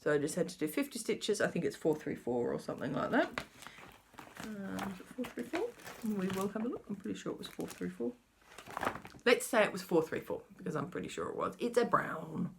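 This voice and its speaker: A woman's voice: mid-range voice, big pitch swings, brisk, vocal fry. A middle-aged woman chatting casually through her cross-stitch update, rambling and talking to herself as she checks her notes. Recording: faint background noise.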